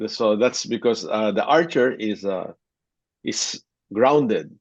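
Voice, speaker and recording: A man. He talks as an interview guest, haltingly, with many restarts. The sound is a dry, dull, lo-fi remote call. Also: on the low side, lively intonation, no room sound. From Philippines. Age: 60s. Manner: hesitant, with frequent restarts and short laughs. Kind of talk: conversational interview.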